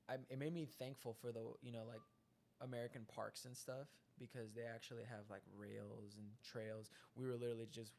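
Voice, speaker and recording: A man's voice: mid-range voice, creaky, a little hiss or hum. A man co-hosting a podcast in casual chat, with a nasal voice, telling stories animatedly and laughing a lot. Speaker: podcast co-host.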